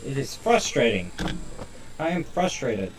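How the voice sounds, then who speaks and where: A man's voice: medium pitch, very animated, measured pace, distant mic, noisy background. A man reading a visual novel's narration aloud on a stream, in a dramatic storytelling style.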